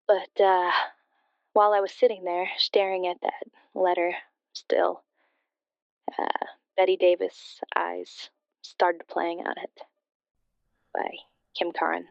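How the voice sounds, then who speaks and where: Wistful grieving daughter calling a radio show: halting, sincere, smooth voice, slightly dull dry sound. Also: female voice, on the low side, little pitch movement, dry recording. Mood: wistful, wondering. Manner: hesitant, halting, reflective. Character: bereaved daughter, wistful and sincere, confiding a ghostly story. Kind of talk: scripted audio drama, emotional personal storytelling.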